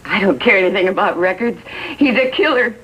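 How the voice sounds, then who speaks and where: A woman's voice: mid-range voice, lively intonation, a little fast, fairly bright tone, husky. A woman playing a bitter, grief-stricken American mother in a 1950s TV drama, speaking in anguished, accusing tones. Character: bitter, grief-stricken mother blaming police for son's death. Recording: dull vintage TV soundtrack.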